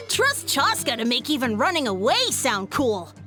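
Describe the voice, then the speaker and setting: An eager village child in a video game, high and light. The lines bounce with lively pitch over clear background music. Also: female voice, unhurried. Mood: admiring. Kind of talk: English game dub acting.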